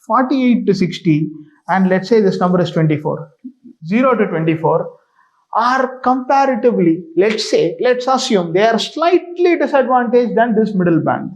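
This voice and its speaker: High-pitched Indian man coaching students. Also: sing-song, normal speed, low-quality recording. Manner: emphatic, repetitive, frequently checks with "right?". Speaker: exam coach and mentor. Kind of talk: informal explanatory lecture to students.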